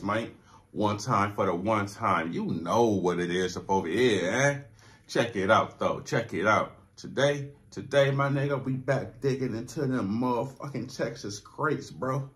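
Low-voiced Californian man, slangy and profane. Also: measured pace, fairly bright tone. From Long Beach, California. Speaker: reaction video host. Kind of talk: casual reaction commentary. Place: small room with foam-panelled walls.

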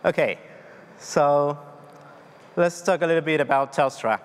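Spanish-accented man, 30s, conference speaker, slightly high voice, loose hesitant delivery, long hall reverb. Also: measured pace. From Argentina. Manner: loose, hesitant delivery with many fillers and restarts. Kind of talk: tech conference presentation. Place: large dark conference hall on stage.

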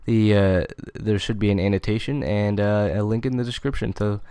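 A young man hosting a casual software tutorial in an informal, conversational way. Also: fairly low pitch, fairly even intonation, normal speed, clear, resonant tone, no room sound, studio quality. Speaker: tutorial host.

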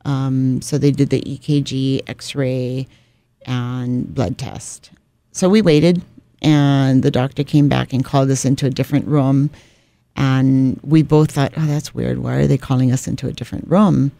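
A woman's voice: a bass voice, steady tone, a little slow, creaky, mellow, no room sound. A middle-aged woman from Hawaii, a radio executive telling her story on a podcast, often adding "you know" in a small radio studio at a broadcast mic. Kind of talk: casual interview chat. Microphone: studio broadcast mic on boom arm.

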